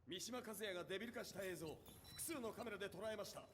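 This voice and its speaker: Loyal game subordinate male actor: high, rapid-fire, deferential, reverberant hall. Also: noisy background, close to an everyday voice. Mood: urgent. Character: urgent male subordinate reporting to his lord. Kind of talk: dramatic video game cutscene acting.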